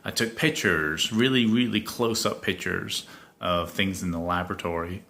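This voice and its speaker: A man's voice: sits low, moderate pace, vocal fry, bright, forceful tone, a little hiss or hum. A young man from Scotland with a faded Scottish accent, rambling chattily through a solo podcast with lots of ums. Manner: rambling, chatty, many ums and self-corrections. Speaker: podcast host. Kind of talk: casual solo podcast chat.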